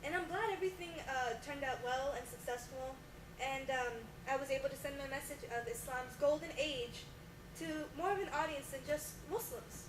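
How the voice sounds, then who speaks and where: A woman's voice: high-pitched, moderate pace, close to an everyday voice. A young woman playing an earnest scientist guest in a homemade mock talk show, explaining things, on distant, echoey, noisy audio. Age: young adult. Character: earnest female scientist and exhibit creator as guest. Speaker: interview guest.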